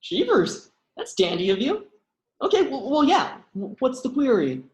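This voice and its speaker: A woman's voice: high voice, wildly swooping pitch, normal speed, a little mellow, roomy sound. Woman playing a bewildered, swooping young college guy. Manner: hesitant, puzzled, earnest. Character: bewildered, good-natured young college guy, music major. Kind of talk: table read of a comedy script.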